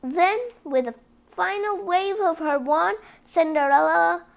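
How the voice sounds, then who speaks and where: A woman's voice: very high-pitched, takes it slowly, creaky. A woman reading a children's story aloud, stumbling now and then over the words. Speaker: storybook reader.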